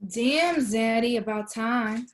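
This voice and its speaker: A woman's voice: on the high side, slow. A woman playing a frustrated, slangy young woman in a comedy script read. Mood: lustful. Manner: slangy, exasperated. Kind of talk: comedy table read acting.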